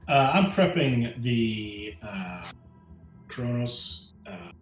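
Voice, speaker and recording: A man's voice: mid-range voice, lively intonation, extremely slow. A man chatting casually as a player in a tabletop roleplaying game. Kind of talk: casual chat during a game. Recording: roomy echo, music underneath.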